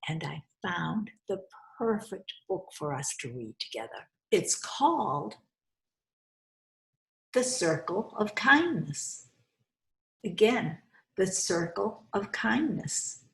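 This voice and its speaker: Slow-paced woman reading to children. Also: mid-range voice, very animated, gravelly. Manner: deliberate, instructive, repeats phrases for young listeners. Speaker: host reading children's books aloud. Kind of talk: storytime read-aloud with commentary to children. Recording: roomy, echoey sound.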